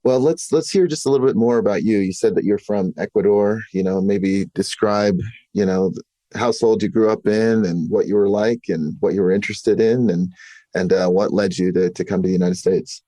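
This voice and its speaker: A man hosting a podcast, chatting in a relaxed way, full of "you know" and fillers. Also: on the low side, fairly quick, dry recording. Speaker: podcast host. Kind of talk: casual interview chat.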